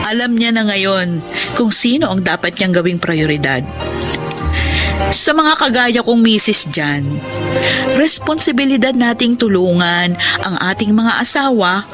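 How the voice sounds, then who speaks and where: An actress with a Filipino accent playing an aggrieved, strong-willed young wife and mother in a radio drama. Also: medium pitch. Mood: content, reflective. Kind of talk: emotional radio drama acting and narration.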